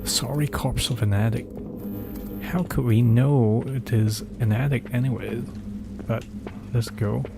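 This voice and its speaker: A man's voice: mid-range voice, fairly even intonation, a little slow, vocal fry, very noisy. A man whose first language is Cantonese, casually commentating a horror game, reading in-game documents aloud and answering chat questions. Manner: reads documents aloud, then skips ahead with asides. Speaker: streamer playing a horror game. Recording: game music behind the voice.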